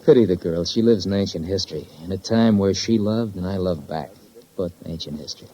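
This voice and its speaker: A man's voice: low voice, brisk, dark tone. An actor playing a sardonic, embittered young man with an American accent, clipped and slangy, in 1950s radio crime drama style. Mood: bitter, sardonic. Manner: clipped, sarcastic, slangy wisecracks. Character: bitter, sardonic young man, failed lawyer, tough talker. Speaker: actor playing a murder suspect. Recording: muffled vintage 1950s radio recording.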